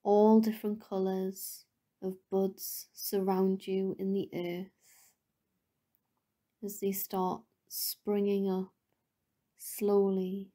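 Female meditation guide: mellow, gentle, breathy at phrase ends, level intonation, long unhurried pauses. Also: medium pitch.

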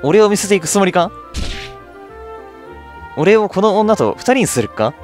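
A cocky young hothead from a visual novel game, as a man acts him out. He talks rough and slangy, blustering like a sore loser. His pitch jumps about, over faint music. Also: sing-song, fast, natural voice. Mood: panicked protest.

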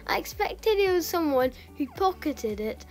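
Upset girlish victim, played by a woman, high and very animated, dramatic amateur cartoon delivery. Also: unhurried, faint music behind, full character voice. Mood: shocked. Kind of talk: scripted amateur dramatic dialogue.

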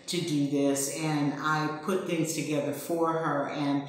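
A woman's voice: deep voice, flat delivery, unhurried, a little hiss or hum. An older woman explaining why she helped organize the conversation, speaking earnestly and repeating words for emphasis. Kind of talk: casual explanation. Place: living room, indoors. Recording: echoey room.

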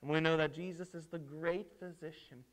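A man with a high-pitched voice tells a Bible story as a children's preacher. He is theatrical and emphatic, using dramatic pauses and posing questions to his young listeners. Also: fairly even intonation. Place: indoors at a lectern on a stage set.

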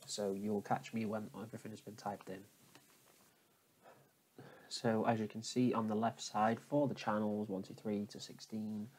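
Low-voiced man giving a tutorial. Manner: informal, explanatory, instructing the viewer directly. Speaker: tutorial host. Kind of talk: casual step-by-step software tutorial. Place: indoors at a computer, voice over screen capture.

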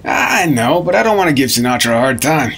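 A man's voice: mid-range voice, very animated, brisk, creaky, a bit bright, breathy edge, full character voice. An actor playing a wry, grumbling 1950s federal agent teasing his partner in a radio drama. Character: wisecracking, grumbling senior FBI partner, 1950s.

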